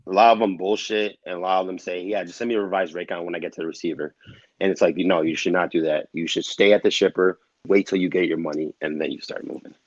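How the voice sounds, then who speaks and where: Male interviewee, low register, dry and dull recording; casual advice-giver who restates points for emphasis. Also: fairly even intonation, quick pace, no echo. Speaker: interview guest in the trucking business. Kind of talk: casual chat, giving advice.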